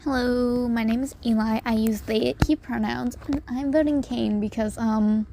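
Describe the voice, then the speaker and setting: A woman's voice: fairly high pitch, fairly even intonation, moderate pace, gravelly. A woman leaving a listener voice message as a hesitant, informal monologue. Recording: noticeable background noise.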